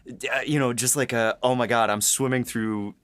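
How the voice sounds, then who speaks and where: A man co-hosting a casual behind-the-scenes discussion, talking in a halting way with repeated words and many filler phrases. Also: medium pitch, steady tone, a bit bright. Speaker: writer and podcast co-host.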